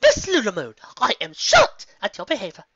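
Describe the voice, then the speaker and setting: A woman's voice: wildly swooping pitch, moderate pace, fairly bright tone, husky, dry recording, lo-fi sound, full character voice. A woman voicing a fussy, prim female pony who speaks theatrically and is easily appalled. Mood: indignant. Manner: theatrical, shrill outbursts, ranting primly.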